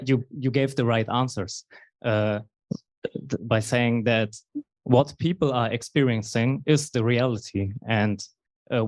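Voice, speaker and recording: Man, audience questioner, hesitant and halting delivery, stuttered starts, dry close sound. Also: mid-range voice, no room sound. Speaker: audience member asking a question. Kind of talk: spoken question to a lecturer.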